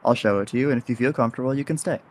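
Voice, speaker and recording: A man's voice: medium pitch, very fast, close to an everyday voice. A man playing an easygoing, cheeky young rogue in an audio drama, talking in casual, wry slang. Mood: reassuring, wry. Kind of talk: audio roleplay drama acting.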